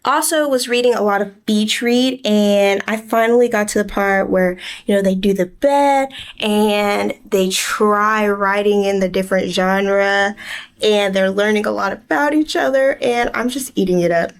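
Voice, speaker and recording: A woman's voice: medium pitch, unhurried. Chatty American woman vlogging casually. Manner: informal, chatty. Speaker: book vlogger. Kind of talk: casual vlog chat.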